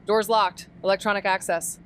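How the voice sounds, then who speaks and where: A woman voicing a sarcastic, excitable young woman who trades snarky, profane quips in a noir audio drama. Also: medium pitch, normal speed, slight background noise, close to an everyday voice.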